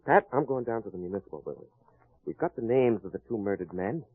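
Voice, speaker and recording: Muffled old radio recording, a wisecracking hard-boiled detective, really animated delivery. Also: male voice, lowish voice, fast talker, no echo. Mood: wry, assured. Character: wry confident male private detective cracking a murder case. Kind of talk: old-time radio crime drama acting.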